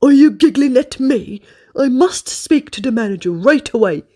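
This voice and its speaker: A woman's voice: a little high, very animated, slow pace, husky, full character voice. A woman voicing a grumpy, cross man complaining in a restaurant, in a read-aloud storybook. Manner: grumbling, cross.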